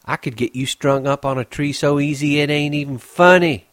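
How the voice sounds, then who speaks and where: A man's voice: on the high side, moderate pace, fairly bright tone, dry recording, clean studio sound, pitched above the performer's own voice, close to an everyday voice. A man putting on a scornful young ranch wife. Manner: taunting, cold.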